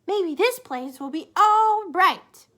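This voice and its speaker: A woman's voice: animated, slow, fairly bright tone, heavily acted. A woman voicing a bossy, fussy bird wife in a children's storybook, speaking emphatically and complaining. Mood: eager, decided. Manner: emphatic, high-pitched complaining. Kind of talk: children's storybook read-aloud.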